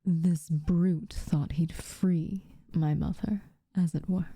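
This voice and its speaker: Slow, mellow, breathy witch voiced by a woman. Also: fairly low pitch, fairly even intonation, dry recording, exaggerated voice. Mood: grim, measured. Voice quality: soft, breathy, often near-whispered. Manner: slow, formal, measured wording with reluctant warmth. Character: guarded, haughty young tsundere witch, secretly tender. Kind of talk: ASMR roleplay, softly spoken to the listener.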